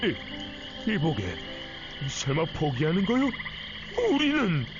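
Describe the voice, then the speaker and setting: High, blustery old sailor. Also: male voice, wildly swooping pitch, takes it slowly, full character voice. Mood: panicked. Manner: blustery, old-fashioned speech. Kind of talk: anime-style game story acting. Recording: dull game audio under loud music.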